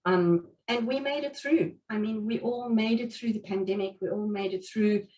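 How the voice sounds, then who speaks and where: A woman's voice: mid-range voice, moderate pace, mellow. Afrikaans-native woman, middle-aged, sick with covid, earnest speaker, distant mic. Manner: earnest, reflective, many restarts and fillers. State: has covid. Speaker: guest speaker. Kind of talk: motivational talk at a meetup.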